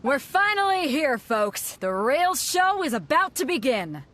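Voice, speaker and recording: Bold, expressive lady captain. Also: high-pitched, unhurried, exaggerated voice. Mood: rousing showmanship. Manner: brash, showy, commanding. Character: bold, commanding female captain hosting a tournament. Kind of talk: video game voice acting.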